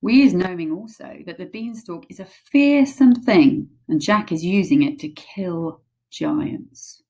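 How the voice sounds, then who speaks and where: A woman voicing a big, gentle male giant who speaks in hushed tones with oddly mangled grammar. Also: on the low side, expressive, measured pace, gravelly, breathy edge, heavily acted.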